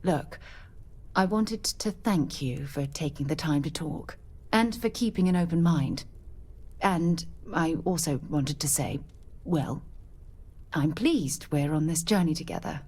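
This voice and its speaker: A woman playing an earnest, thoughtful leader of space explorers in a video game, measured and slightly reserved. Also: low voice, darkish tone, breathy edge. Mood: warmly awkward. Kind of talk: video game dialogue acting.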